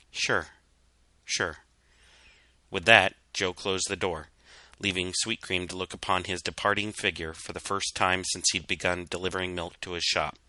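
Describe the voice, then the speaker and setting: A man reading a story as a dramatic narrator, solemn and measured in his delivery. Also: low-pitched, sharp tone, no room sound. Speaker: fan fiction reader.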